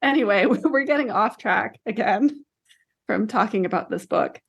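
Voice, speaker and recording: A woman's voice: mid-range voice, animated, normal speed, gravelly, a bit bright, slightly rough. A woman talking casually as a podcast co-host in a book discussion.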